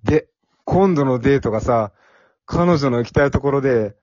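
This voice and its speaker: A man's voice: mid-range voice, lively intonation, moderate pace, a little mellow, no echo, highs cut off, lightly acted. A man playing a timid, earnest young office worker in an amateur radio drama, with plain boyish phrasing. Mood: overjoyed.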